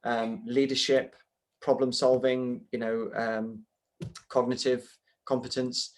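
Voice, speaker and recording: A man's voice: mid-range voice, level tone, slow pace. A middle-aged man from Wales, a martial arts teacher answering interview questions in a rambling way with many ums and restarts.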